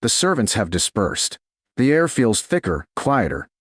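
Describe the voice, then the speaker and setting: A man narrating a scripted romance story in a slow, dramatic storytelling style. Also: on the low side, very animated, a bit bright, breathy edge, dry recording, clean studio sound. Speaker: audiobook narrator.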